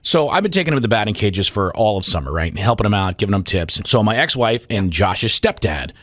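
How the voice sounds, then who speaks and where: Male morning show host, middle-aged, lively swooping pitch, dry close-mic studio sound, comic storyteller. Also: quick pace, no echo. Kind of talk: animated comic storytelling and banter. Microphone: close studio radio microphone.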